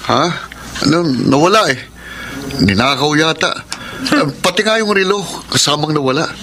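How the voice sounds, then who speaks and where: A whiny young gambler in a radio drama, makes excuses, clear background noise. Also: male voice, fairly high pitch, animated, normal speed, bright, forceful tone. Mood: defensive. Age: young adult. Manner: defensive, complaining. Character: irresponsible young gambler, defensive and whining. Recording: noisy radio drama recording.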